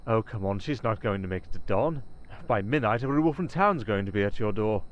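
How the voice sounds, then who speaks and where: A man playing a sly, menacing werewolf gang leader in an ASMR roleplay, smooth-talking and mocking with false politeness. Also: lowish voice, lively intonation, a little fast, dull audio, close to an everyday voice. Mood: veiled threat. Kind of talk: ASMR roleplay villain acting.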